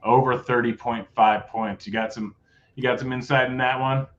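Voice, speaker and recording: A man's voice: medium pitch, fairly even intonation, moderate pace, a bit bright, muffled recording, slight background noise. A man hosting a casual sports betting show, talking through his picks in a laid-back way, his voice a bit worn. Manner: laid-back, rattles off odds. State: losing his voice.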